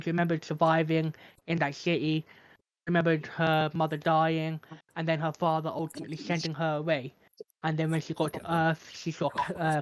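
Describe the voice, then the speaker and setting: A man co-hosting a film podcast, chatting earnestly and hesitantly with fillers. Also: a little high. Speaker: podcast co-host. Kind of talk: casual film review chat.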